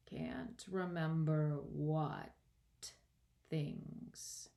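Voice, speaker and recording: A woman voicing a languid, sleepy caterpillar in a children's storybook, curt and contemptuous, with breathy, drawn-out speech. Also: deep voice, fairly even intonation, mellow, heavily acted. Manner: drawn-out, drowsy delivery with terse replies. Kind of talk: children's storybook read-aloud.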